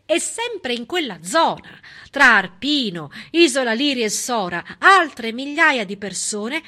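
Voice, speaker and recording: A woman performing a theatrical narrative monologue as a stage storyteller, emphatic, with dramatic pauses and an ironic edge. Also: a little high, big pitch swings, pushed and bright.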